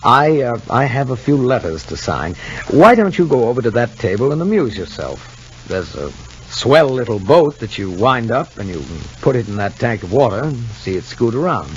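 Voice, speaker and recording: A man plays a male child psychologist in a 1950s radio soap. He is calm and probing, with varied intonation and thoughtful pauses. The old recording is muffled, with faint noise. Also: medium pitch, lightly acted. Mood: kindly, coaxing. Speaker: actor in a radio drama.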